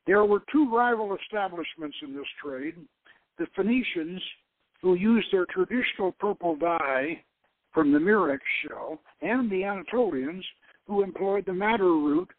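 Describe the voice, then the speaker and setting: Man playing worldly lady scholar, measured, teasing, storybook reading, thin phone-like audio. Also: sits high, animated, no echo. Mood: storytelling. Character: worldly woman archaeologist, learned and teasing storyteller.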